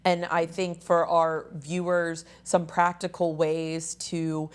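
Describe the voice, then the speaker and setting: A woman hosting a health talk show, her voice raspy and a little hoarse, speaking conversationally with frequent fillers. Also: fairly low pitch, flat delivery, takes it slowly. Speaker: host.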